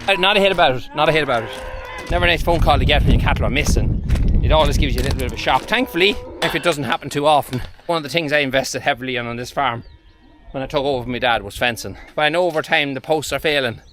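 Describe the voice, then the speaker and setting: A man's voice: a little high, lively intonation, quick pace, music in the background. An Irish man, a farmer, casually explaining his work to camera in a down-to-earth, mildly sweary way. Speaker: farmer and farm vlogger. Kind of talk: casual vlog commentary while working. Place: outdoors in cattle fields. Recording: very noisy outdoor farm, cattle mooing.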